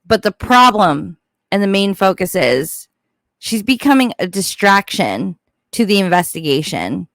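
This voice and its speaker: A woman's voice: mid-range voice, sing-song, unhurried, dry recording. A woman giving casual, opinionated true crime commentary in a blunt, informal way.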